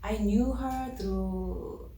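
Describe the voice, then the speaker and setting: A woman with a Korean accent, an actress and dancer, speaking English haltingly and searching for words. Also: mid-range voice, mellow. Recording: echoey room, distant mic, faint noise.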